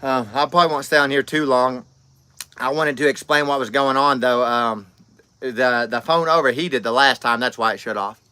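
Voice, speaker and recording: A man's voice: mid-range voice, normal speed, bright, forceful tone, smooth. A man, chatting casually on a livestream and reading out comments, rambling and friendly with lots of fillers and restarts. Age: 30s. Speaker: livestream host.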